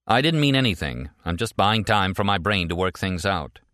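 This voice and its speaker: A man's voice: low-pitched, big pitch swings, moderate pace, bright, forceful tone, dry recording, clean studio sound, full character voice. A man voicing a wary, brooding male character in an audiobook, terse and evasive. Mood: guarded. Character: wary, guarded, brooding man thinking slowly aloud.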